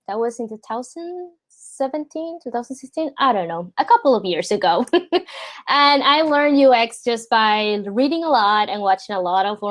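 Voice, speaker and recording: Higher-voiced Puerto Rican woman, chatty host. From San Juan, Puerto Rico. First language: Spanish. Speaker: host, product designer reviewing a portfolio. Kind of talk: informal live online presentation. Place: remote video call.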